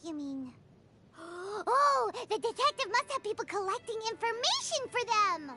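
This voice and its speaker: A woman voicing a small, excitable, naive childlike girl companion in a video game, squeaky and theatrical in her delivery. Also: measured pace, full character voice. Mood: curious, musing. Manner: refers to herself in third person, exclaims theatrically. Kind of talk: video game character voice acting. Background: background music.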